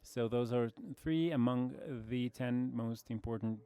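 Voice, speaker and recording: A man in his forties from Brazil with a Brazilian Portuguese accent, giving a measured conference presentation with frequent fillers. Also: mid-range voice, warm tone, clear, resonant tone. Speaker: conference speaker, logistics executive.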